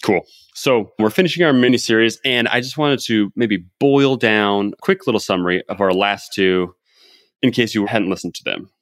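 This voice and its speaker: A man's voice: lowish voice, moderate pace, no room sound, studio quality. A man hosting a podcast, chatting and summing up advice in an upbeat coaching tone. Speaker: podcast host. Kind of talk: casual podcast chat and summary.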